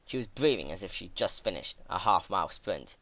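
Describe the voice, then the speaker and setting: Animated, forceful male story narrator. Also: sits low, fairly quick, no room sound. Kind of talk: dramatic fan fiction reading.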